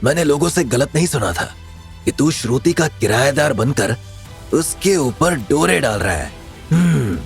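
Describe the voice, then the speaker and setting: A man voicing a cocky, menacing young male rival in an audio drama, taunting and sneering with forceful delivery. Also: medium pitch, lively intonation, a little slow, creaky, soft background music. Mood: smug. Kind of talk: Hindi audio drama character acting.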